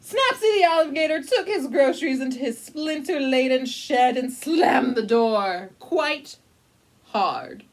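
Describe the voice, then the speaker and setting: A woman voicing a pompous, melodramatic grand lady who narrates a storybook with haughty, drawn-out drama. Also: high voice, lively intonation. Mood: grandly ominous.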